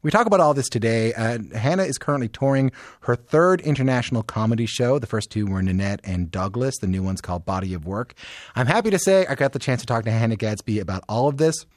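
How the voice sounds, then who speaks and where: A man hosting an interview show in a conversational style with informal asides, his voice slightly croaky as if he is losing it. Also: mid-range voice, animated, quick pace, no echo, studio quality. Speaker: podcast and radio show host. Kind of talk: conversational scripted introduction and interviewing.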